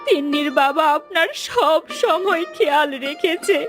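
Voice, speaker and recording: Woman acting a high-pitched, plaintive village wife. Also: expressive, measured pace, a bit bright, faint music behind, heavily acted. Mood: anguished, tearful. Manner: plaintive, emotional. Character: poor, anxious, sorrowful village wife and mother. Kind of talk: cartoon dialogue acting.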